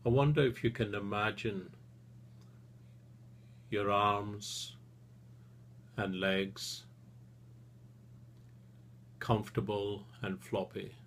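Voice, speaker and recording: A man leading a guided relaxation session as a therapist, speaking soothingly and gently in short phrases with long pauses. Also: on the low side, steady tone, a little hiss or hum.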